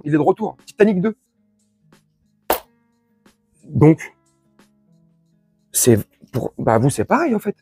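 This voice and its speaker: A man from France with a husky voice, giving an informal, crude and sarcastic opinion rant to his followers as an online commentator. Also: sits high, a little mellow, dry recording, studio quality. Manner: crude, slangy, sarcastic, indignant.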